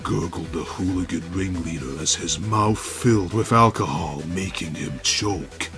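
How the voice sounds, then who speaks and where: Low, gravelly male dramatic narrator. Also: unhurried, music in the background. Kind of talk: scripted dramatic story narration.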